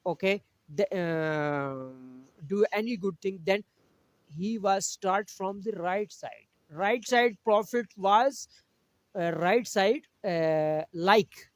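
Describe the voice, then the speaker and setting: A man from Pakistan whose first language is Urdu, teaching a Quran lesson over a video call in halting English with many pauses. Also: high-pitched, dry recording. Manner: halting English with frequent pauses and throat-clearing.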